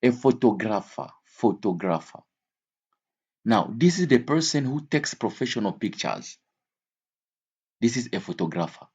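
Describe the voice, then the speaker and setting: A man's voice: medium pitch, very animated, unhurried, pauses often, darkish tone, husky, muffled recording. A man teaching an English vocabulary lesson in a didactic way, repeating key words and switching between languages.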